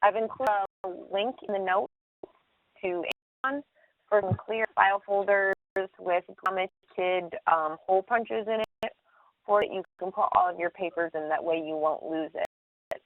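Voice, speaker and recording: A woman's voice: medium pitch, moderate pace, dry recording. A woman hosting a casual instructional group call, explaining things and handing over to others. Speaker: conference call host. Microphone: phone call. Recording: narrow tinny phone conference line.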